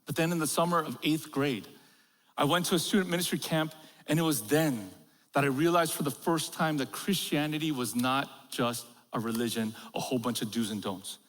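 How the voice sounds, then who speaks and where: A man's voice: fairly high pitch, normal speed, a bit bright. A middle-aged man, a pastor born in Korea and raised in America, giving a sermon full of humorous stories and chuckles at his own jokes. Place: large church auditorium. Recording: long reverb of a big hall.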